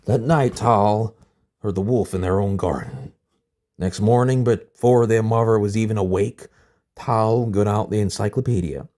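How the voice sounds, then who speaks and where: Mellow mid-low voice, read-aloud story narration, informal, occasional stumbles. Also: a little slow. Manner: informal, stumbles over words and rereads. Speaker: storybook reader.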